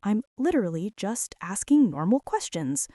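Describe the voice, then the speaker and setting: Woman acting a bossy, flustered college girl, mellow voice. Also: medium pitch, big pitch swings, moderate pace, no echo, clean studio sound. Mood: rambling, defensive. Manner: defensive, bossy, rambling, teasing. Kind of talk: girlfriend ASMR roleplay, intimate monologue to the listener.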